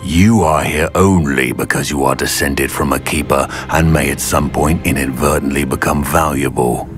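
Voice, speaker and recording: An actor playing a ruthless goblin rebel leader in a video game, with a deep, menacing voice and an imperious, threatening manner. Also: male voice, steady tone, normal speed, soft background music. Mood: cold menace. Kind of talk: video game character acting.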